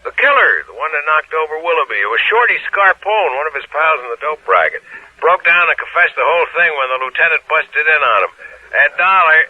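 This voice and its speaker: An American actor playing a brisk, satisfied police sergeant in a 1960s radio drama, on a muffled old recording. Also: male voice, a little high, big pitch swings.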